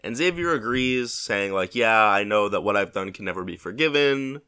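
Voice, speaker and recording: A man's voice: on the low side, lively intonation, normal speed, bright, forceful tone, smooth. Congested, rambling man hosting a podcast. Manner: rambling, self-correcting, laid-back. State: congested with a head cold. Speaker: podcast host. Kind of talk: casual solo podcast talk.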